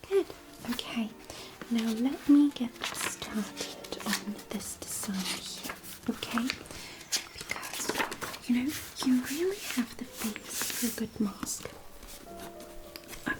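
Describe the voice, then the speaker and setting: A woman playing a kindly, chatty fairy craftswoman in a fantasy ASMR roleplay, softly whispering in a nurturing, slightly hesitant way. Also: fairly high pitch, natural voice, low-quality recording. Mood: attentive, kindly.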